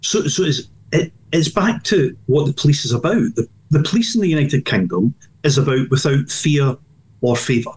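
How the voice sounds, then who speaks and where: A man's voice: a little high, lively intonation, moderate pace, darkish tone, husky. An older Scottish man, a former police superintendent, answering as a news interview guest in a blunt, emphatic way with repeated restarts.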